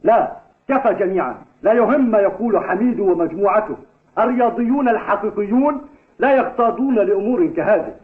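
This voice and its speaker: An upright young team captain in a cartoon, with a high, earnest voice. He speaks firmly, and the audio is thin and phone-like. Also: animated, brisk. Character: principled, earnest male school football team captain. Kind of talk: Arabic cartoon dubbing. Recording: tinny, phone-like old TV dub audio.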